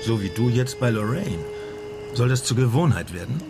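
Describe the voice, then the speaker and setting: An actor playing a grumpy, suspicious friend who questions gruffly and sarcastically in a radio crime drama. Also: male voice, mid-range voice, animated, normal speed, over music, natural voice. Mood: sarcastic probing.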